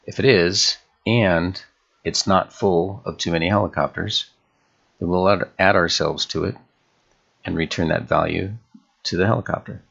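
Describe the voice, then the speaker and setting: Male tutorial host, very low register with heavy fry, measured instructional screencast delivery. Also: steady tone, fairly bright tone, highs cut off. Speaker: game development tutorial host.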